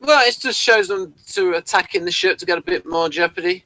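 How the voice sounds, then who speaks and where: A man's voice: high voice, brisk, pushed and bright, no echo, poor audio. A man hosting a casual discussion of an audio drama, asking the others for their views. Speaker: podcast host.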